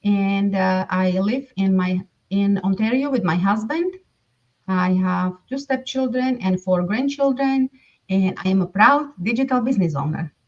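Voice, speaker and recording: A woman's voice: medium pitch, expressive, measured pace. A woman from Slovakia, a grandmother, proudly introducing herself on a livestream. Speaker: panelist. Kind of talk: casual self-introduction. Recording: muffled, distant call audio.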